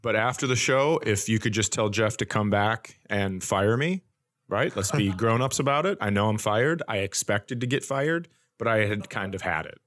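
A man's voice: on the low side, lively intonation, normal speed, fairly bright tone. A man hosting a comedy podcast, telling stories casually in a wry, self-deprecating, conversational way.

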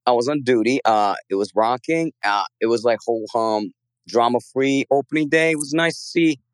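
A male caller who is losing his voice stops and starts, filling gaps with hesitations. His tone stays smooth and resonant, and the sound is dry and close. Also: medium pitch, no room sound. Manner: halting, many fillers. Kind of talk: call-in chat.

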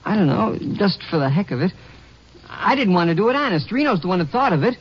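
A sheepish boy from ranch country speaks in a high, rough-edged voice that jumps around in pitch. He is hesitant, evasive and respectful. The recording is a dull 1950s radio drama. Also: very animated, quick pace, full character voice. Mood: sheepish confessing. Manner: hesitant, deferential.

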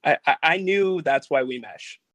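A man hosting a casual chat, enthusiastic and quick with questions. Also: a little high, expressive, fairly bright tone, no echo. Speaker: host.

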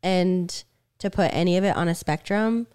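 A woman co-hosts a candid podcast conversation, thinking aloud and restarting her sentences as she goes. Her voice sits a little low and comes through smooth, with a slight rasp. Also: a little mellow. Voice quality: slightly raspy. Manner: reflective, speaks in halting restarts. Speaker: podcast co-host.

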